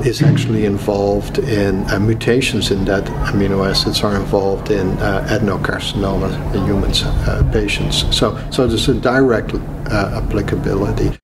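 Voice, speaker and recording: A male professor explaining research in a lecture-like way, with halting, hesitant delivery. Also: lowish voice. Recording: clearly noisy room ambience.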